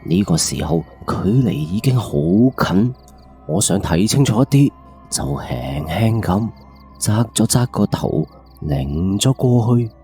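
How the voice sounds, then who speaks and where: Low, mellow Cantonese male storyteller. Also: sing-song, takes it slowly, husky, soft background music. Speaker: ghost story narrator, radio host. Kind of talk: late-night first-person ghost story narration.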